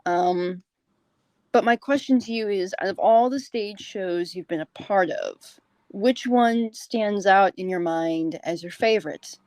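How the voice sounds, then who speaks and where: A woman's voice: medium pitch, measured pace, a little mellow, dry recording. A woman hosting a podcast, chatting casually as she interviews her guest.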